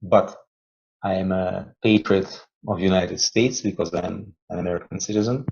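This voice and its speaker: A man with a Russian accent answers in halting English, with fillers and restarts as he gathers his thoughts. His voice sits very low and carries a rough, gravelly fry. The recording sounds dull and lo-fi. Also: fairly even intonation, a little mellow, slight background noise. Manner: halting, searching for words, many fillers. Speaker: interview guest, poet and theologian. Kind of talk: conversational interview answers.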